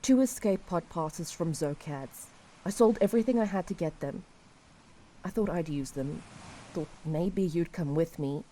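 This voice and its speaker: A woman playing a despairing, resigned helpline caller in an audio drama, speaking wearily and tenderly in halting short phrases. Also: on the low side, warm tone, a little hiss or hum, lightly acted, rough recording.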